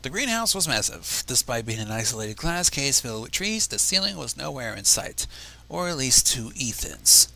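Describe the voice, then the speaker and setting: A man reading a story aloud on a livestream in an expressive, informal, theatrical and irreverent way. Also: medium pitch, normal speed, gravelly, poor audio. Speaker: fan fiction reader on a livestream.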